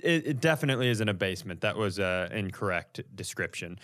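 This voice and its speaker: A man's voice: on the low side, expressive, brisk, fairly bright tone. A man co-hosting a podcast, telling casual comic stories in a blunt, exasperated, sweary way. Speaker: podcast co-host. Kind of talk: casual comedic storytelling.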